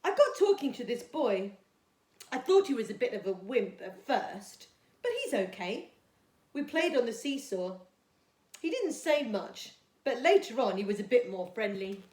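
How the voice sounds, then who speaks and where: A woman's voice: fairly high pitch, sing-song, a little slow, darkish tone. A woman voicing a cheerful, cheeky young girl from a storybook, blunt and down-to-earth. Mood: chirpy, cheeky. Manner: chirpy, blunt, childlike. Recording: echoey, roomy sound.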